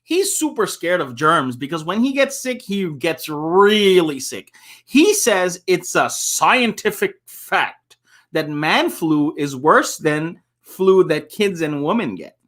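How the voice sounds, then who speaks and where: A man's voice: a little high, big pitch swings, slow, a bit bright. A man reading a children's book aloud as a storyteller, playful and given to chuckling asides.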